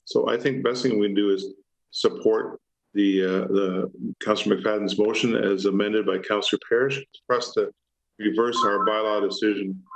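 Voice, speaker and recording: A man giving remarks as a city councillor in a meeting, with measured, hesitant phrasing. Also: lowish voice, low-quality recording.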